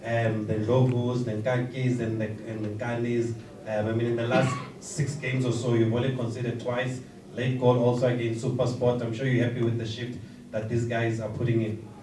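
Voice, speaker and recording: A man's voice: medium pitch, little pitch movement, moderate pace, distant mic, noisy background. A man, a sports journalist, asking a friendly teasing question in a press conference. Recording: echoey room, off-mic.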